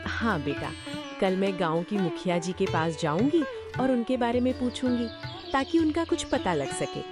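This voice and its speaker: A woman voicing a devoted village wife and mother who talks gently and caringly in a cartoon story. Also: medium pitch, expressive, normal speed, music in the background, natural voice. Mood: gentle. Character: worried devoted young wife and mother, cook.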